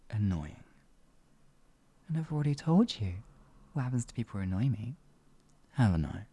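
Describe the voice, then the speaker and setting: Evil sadistic wizard for asmr roleplay, gravelly fry, super dry close sound, smug and theatrical, drags words out. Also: medium pitch, a little mellow, no room sound. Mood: condescending, sinister. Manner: theatrical, condescending, drawn-out words with menacing whispers. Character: evil, sadistic, all-powerful sorcerer, gloating and cruel. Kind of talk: ASMR fantasy roleplay monologue.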